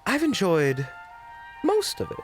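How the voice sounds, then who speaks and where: A man playing a witty, unflappable investigator in an audio roleplay, with a coy, theatrical, mockingly polite and drawn-out delivery. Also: high-pitched, wildly swooping pitch, pitched above the performer's own voice, full character voice. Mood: playful, chatty. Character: flamboyant, unflappable, witty investigator in their prime. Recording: background music.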